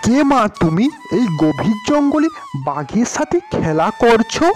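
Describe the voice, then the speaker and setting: A man's voice: sits high, very animated, unhurried, faint music behind. Swooping, emotional landlord father played by a man. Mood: coaxing. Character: remorseful landlord father, pleading, scheming, emotional. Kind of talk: cartoon fairy-tale acting.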